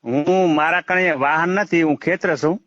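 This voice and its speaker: A man speaks in a high voice on a muffled phone call. He argues haltingly, often saying the same phrase over again, and the sound is quite dry. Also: fairly bright tone, no echo. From Gujarat, India. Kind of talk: argumentative phone conversation.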